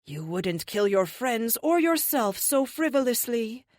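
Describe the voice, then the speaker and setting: A woman's voice: mid-range voice, lively intonation, a little slow, no room sound, clean studio sound. Audiobook villain: cold, haughty witch played by a woman, stern and scornful, very varied intonation. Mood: defiant.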